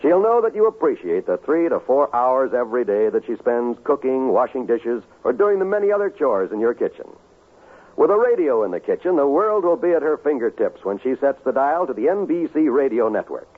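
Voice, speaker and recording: An American man announcing and reading a scripted sales pitch in 1950s radio style. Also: very animated, a little fast. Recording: muffled 1950s radio recording, faint music.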